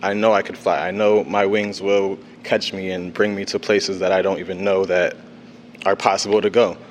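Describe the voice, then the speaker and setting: A man's voice: low voice, little pitch movement, normal speed, slight background noise. A young man from Connecticut, an artist telling his story on a panel in a loose, informal way. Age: young adult. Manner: informal, with fillers and restarts. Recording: long hall reverb.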